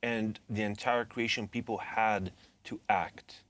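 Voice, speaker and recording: A man interviewing a guest, asking measured, probing questions. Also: fairly low pitch, steady tone, a bit bright.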